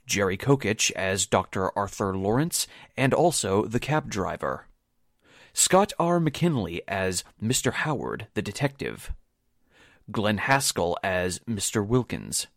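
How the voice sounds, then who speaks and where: A man's voice: on the low side, very animated, a little slow, creaky, no room sound, studio quality. A man announcing in a scripted, courteous and formal way, as the presenter of a radio drama. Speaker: announcer and cast member.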